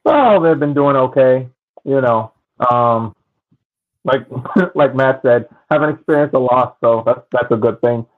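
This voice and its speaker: A man on a podcast panel, chatting casually and haltingly over thin call audio. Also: medium pitch, animated, phone-quality sound. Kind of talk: casual chat.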